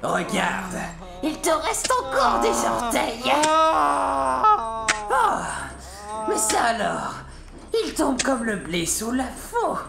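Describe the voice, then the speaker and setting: A woman's voice: a little high, wildly swooping pitch, slow pace, gravelly, long pauses, roomy sound, loud music behind the voice, heavily acted. A woman playing a sadistic military interrogator in an audio drama, gloating, taunting and cruel with mock-polite menace. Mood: sadistic glee.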